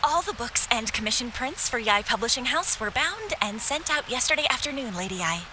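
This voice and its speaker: A woman voicing a polite, composed young messenger with a formal, measured manner in a video game. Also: fairly high pitch, big pitch swings, background noise. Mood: dutiful. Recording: music behind the voice.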